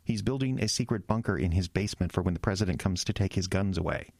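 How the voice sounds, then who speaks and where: A young small town pastor, stammers n trails off, earnest, close dry sound, audio drama. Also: male voice, low voice, fast, creaky, a little mellow, no echo. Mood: troubled, searching.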